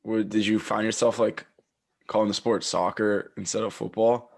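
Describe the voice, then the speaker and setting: A man's voice: on the low side, flat delivery, moderate pace, creaky. A man co-hosting a podcast, asking loose, casual questions with a light laugh. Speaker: podcast co-host.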